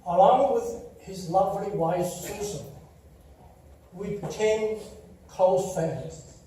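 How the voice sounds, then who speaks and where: An older man with a Chinese accent, Taiwanese his first language, giving a slow, warm eulogy full of gentle storytelling at a memorial service. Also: high-pitched, a little hiss or hum. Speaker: eulogy speaker. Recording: echoey hall, distant mic.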